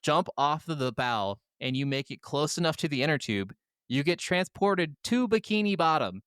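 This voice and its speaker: A man's voice: a little high, expressive, moderate pace, a bit bright, smooth, dry recording, clean studio sound. A man co-hosting a podcast, chatting casually about video games in an enthusiastic, freely swearing way. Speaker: podcast co-host.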